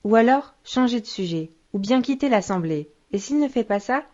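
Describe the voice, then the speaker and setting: A woman's voice: on the high side, expressive, normal speed, a little mellow, dull audio, natural voice. A woman playing a patient, solemn religious teacher in an educational children's cartoon. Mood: patient, instructive.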